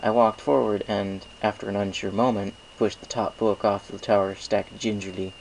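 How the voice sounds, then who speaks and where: A man's voice: fairly low pitch, flat delivery, moderate pace, gravelly, slight background noise, poor audio. A man reading a scripted first-person story aloud as an amateur narrator.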